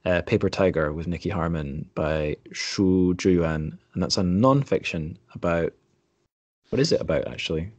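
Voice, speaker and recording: A man's voice: deep voice, normal speed, no room sound. A man hosting a podcast in an informal, rambling, self-deprecating way. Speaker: podcast host. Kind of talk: casual podcast chat.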